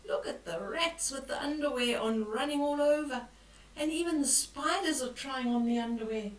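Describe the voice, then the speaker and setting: An older woman reading a picture book aloud to children, expressive and dramatic, with hushed whispers and exclamations as she comments on the pictures. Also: high-pitched, normal speed. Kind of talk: bedtime read-aloud with asides on the pictures. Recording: roomy echo, distant from the mic.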